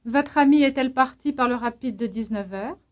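A woman acting as a language instructor, reading out scripted drill questions in a clear, measured way. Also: high voice. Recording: dull lo-fi language tape.

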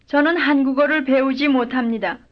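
A woman giving careful, measured full-sentence answers as the model speaker in a recorded language drill. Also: sits high, fairly even intonation. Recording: dull lo-fi old lesson tape.

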